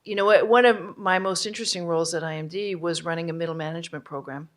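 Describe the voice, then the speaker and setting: A woman's voice: on the low side, steady tone, quick pace. A woman moderating a live audience discussion at a conference, energetically prompting the room for takeaways. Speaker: summit facilitator.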